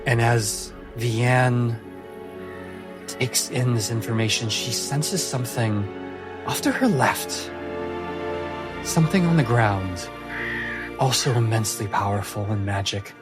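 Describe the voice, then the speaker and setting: A man narrating a tabletop fantasy game as game master, with a measured, deliberate storytelling delivery. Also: mid-range voice. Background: music.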